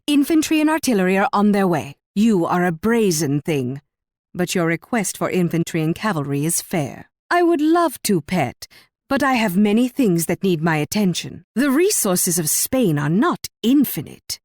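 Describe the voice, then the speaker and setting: A woman's voice: fairly low pitch, big pitch swings, moderate pace, a little mellow, no echo. The imperious Spanish monarch of a strategy game, issuing commands from her throne in sweeping, melodic condescension, recorded bone-dry. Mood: regal, assured, then haughty, dismissive. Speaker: video game character voice actor. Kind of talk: scripted strategy game voice lines.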